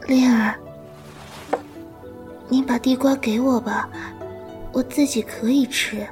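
An actress plays a frail young woman in a period wuxia radio play. Her voice is high and soft, turning breathy with weakness, and she speaks with weary tenderness. Music plays behind her. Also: steady tone, slow pace. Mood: weak, weary. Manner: gentle, weary, tender, coaxing. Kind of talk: Chinese period wuxia audio drama acting.

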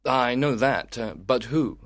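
A man's voice: medium pitch, animated, takes it slowly, a bit bright. Benvolio, a man voicing an earnest young cousin. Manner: earnest, questioning. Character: young male cousin, concerned and friendly.

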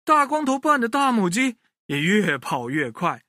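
A man telling a children's story in an expressive way, speaking directly to his young listeners. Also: high voice, moderate pace, no room sound, studio quality.

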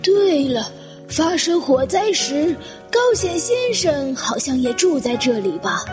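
An actress playing a polite, modest elderly widow in a radio drama. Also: female voice, high voice, expressive, unhurried. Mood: courteous, inquiring. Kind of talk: Chinese radio drama acting. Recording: long reverb, music underneath.

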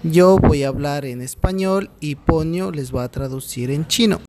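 A man teaching Spanish, speaking slowly and clearly and repeating phrases for learners. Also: fairly high pitch, background noise. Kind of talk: slow, clear language-lesson speech.

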